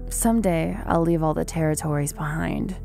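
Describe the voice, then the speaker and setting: Young dystopian runaway, guarded and defiant, lowish register, radio play with soft music bed. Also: female voice, normal speed, gravelly. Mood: shaken, resolute. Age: young adult. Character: young cyberpunk rebel woman, wary and defiant. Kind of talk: radio drama acting with narration.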